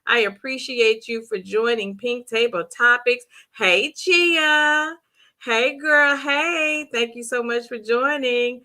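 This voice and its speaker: A middle-aged woman with a hoarse voice, hosting a live stream and warmly greeting viewers by name in the chat. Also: a little high, slow. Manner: bubbly, repetitive greetings. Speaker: livestream host.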